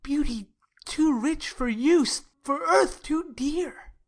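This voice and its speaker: Woman playing a slow, lovestruck young nobleman. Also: sits high. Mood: awestruck, hushed. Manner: measured, dreamy declamation. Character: lovestruck, brooding young nobleman, earnest and poetic. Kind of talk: theatrical Shakespearean verse acting.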